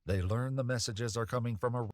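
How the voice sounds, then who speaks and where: A man's voice: mid-range voice, brisk, no room sound, clean studio sound. A man reading a scripted true-crime narration about police footage as the narrator.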